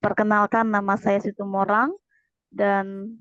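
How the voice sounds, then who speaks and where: A woman from East Kalimantan introduces herself slowly and carefully. The audio is dry and somewhat muffled, like a video call. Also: medium pitch, level tone, fairly bright tone, smooth, no echo. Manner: slow and measured. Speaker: church worker. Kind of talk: class self-introduction.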